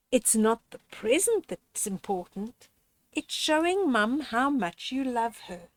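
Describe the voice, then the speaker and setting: An older woman reading a children's story aloud in an expressive, gently instructive way, addressing the child listener directly with deliberate pauses. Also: on the high side.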